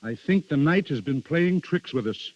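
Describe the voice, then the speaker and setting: A man's voice: on the high side, lively intonation, normal speed. An actor playing a fatherly older duke with an authoritative, theatrical manner in a 1930s radio drama. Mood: fatherly, reassuring. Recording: dull 1930s radio recording.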